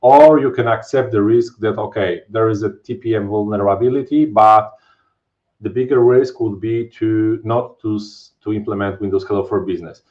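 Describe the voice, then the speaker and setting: A man with a Polish accent walks viewers through a security topic in a live online session. His voice sits low and comes over a dull, lo-fi webcam stream from a home office. He talks in a loose, explanatory way, with fillers and frequent "right?" checks. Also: steady tone, normal speed, a little mellow. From Poland. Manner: explains casually, many fillers and "right?" tags. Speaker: presenter. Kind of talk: live technical webinar talk. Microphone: webcam video call.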